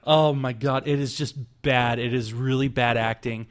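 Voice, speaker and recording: Brief: young male podcast co-host, mid pitch, jokey, laughs a lot, animated. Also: moderate pace. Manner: laughs often, animated and joking. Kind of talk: casual chat.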